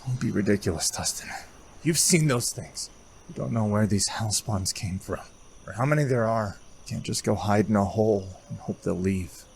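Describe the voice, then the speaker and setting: An actor playing a determined young soldier with an earnest, persuasive manner in a fantasy audio drama. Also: male voice, lowish voice, moderate pace, gravelly, a little mellow, slight background noise. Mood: earnest, insistent.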